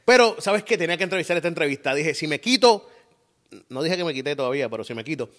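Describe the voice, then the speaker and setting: Fast-talking Puerto Rican podcast host. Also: male voice, a little high, animated. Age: middle-aged. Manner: playful, joking, shouty bursts. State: tired. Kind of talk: casual podcast interview. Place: purple-lit indoor studio set.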